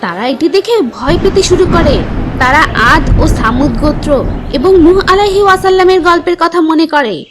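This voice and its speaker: A woman's voice: sits high, animated, normal speed, noisy background. A woman voicing a teacher who tells religious stories to children in a scripted cartoon narration. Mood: instructive. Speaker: storyteller teacher.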